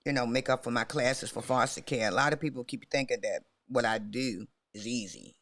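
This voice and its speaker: A woman's voice: fairly quick, a bit bright. A middle-aged woman, chatting casually with her sons on a podcast. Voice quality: husky, deep. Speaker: podcast guest, mother of the host. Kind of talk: casual family chat.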